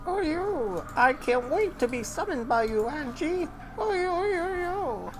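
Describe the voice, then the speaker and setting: A man's voice: extremely high pitch, very animated, measured pace, over music, voice pushed up, full character voice. A man voicing a tiny, cheerful male lion plush friend who chirps in a sing-song way. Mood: excited.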